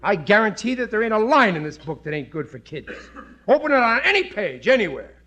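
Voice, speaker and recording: An actor playing a bumbling, excitable working-class husband in a 1950s radio comedy, earnest and thick-headed, stammering and blustering with rough grammar. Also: male voice, high voice, brisk, exaggerated voice. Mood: indignant, insistent. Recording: noisy vintage 1950s radio broadcast.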